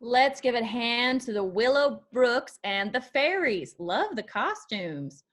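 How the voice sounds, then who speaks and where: A woman's voice: fairly high pitch, very animated, takes it slowly, fairly bright tone, heavily acted. A woman playing a brash but guarded young country singer who tends bar, in a screenplay table read with folksy phrasing. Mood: brash, playful.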